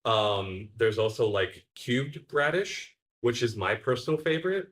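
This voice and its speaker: A man originally from Bulgaria answers interview questions, eager and rambling, restarting his sentences as he goes. His voice sits in an ordinary middle pitch. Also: big pitch swings, unhurried, fairly bright tone. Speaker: game developer being interviewed.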